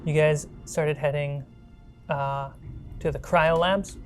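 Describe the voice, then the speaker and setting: Low-voiced woman game master over music. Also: fairly even intonation, takes it slowly. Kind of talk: improvised tabletop game narration.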